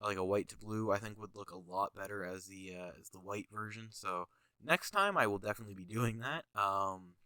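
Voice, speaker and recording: Stuffy young man, bright tense voice. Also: lowish voice, brisk, dry recording. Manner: rambling, lots of fillers, goes on tangents. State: stuffy nose, sniffling, tired. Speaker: channel host reviewing his own jersey designs. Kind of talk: casual commentary over design images.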